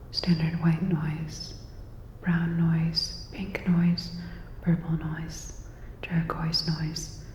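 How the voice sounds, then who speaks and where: A woman whispering softly and gently in an ASMR sci-fi roleplay as a calm, caring robot repair technician, with a dull, reverberant sound. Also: low voice, a little hiss or hum. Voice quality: soft, breathy whisper.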